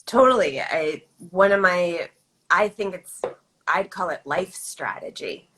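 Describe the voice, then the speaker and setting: A woman hosting a live video chat, thoughtful and measured, asking her guest questions. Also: fairly low pitch, lively intonation, pushed and bright, a little hiss or hum, poor audio. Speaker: host. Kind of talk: casual live-stream interview.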